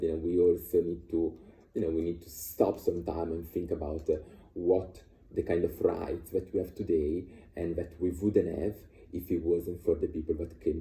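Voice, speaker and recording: Deep, dark-voiced man. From Italy. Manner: halting, many fillers and restarts. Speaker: vlogger sharing personal views. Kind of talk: casual unscripted monologue. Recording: echoey room.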